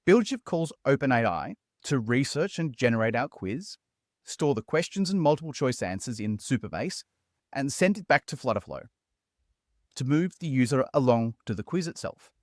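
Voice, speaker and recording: A man narrates a building tutorial in an upbeat, chatty voice-over. His pitch moves around a lot. The sound is dry and close, with no room around it. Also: very animated, moderate pace, no echo. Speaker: tutorial host.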